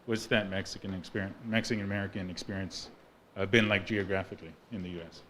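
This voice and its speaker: Guy from the audience asking a polite question, low flat voice, bit of noise. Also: quick pace, rough recording. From grew up in East Los Angeles. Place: audience area of a bookstore event.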